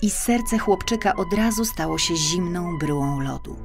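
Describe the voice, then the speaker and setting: A woman narrating a children's fairy tale as an audiobook reader, expressive and deliberate, over music. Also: on the low side, vocal fry.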